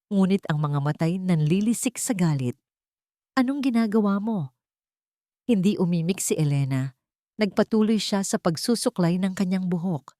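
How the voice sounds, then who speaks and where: Low-voiced woman narrating a drama. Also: moderate pace, warm tone, no room sound, studio quality. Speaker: storyteller. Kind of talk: dramatic scripted story narration.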